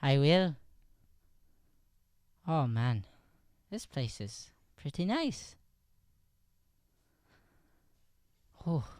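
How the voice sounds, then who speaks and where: Irish lad, played by a man, eager and halting, clean lively voice, dry studio sound, radio-play comedy. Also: on the high side, dry recording, heavily acted. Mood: awkward, polite. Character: Finnegan, curious eager Irish lad, new in town. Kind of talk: improvised old-time radio play acting.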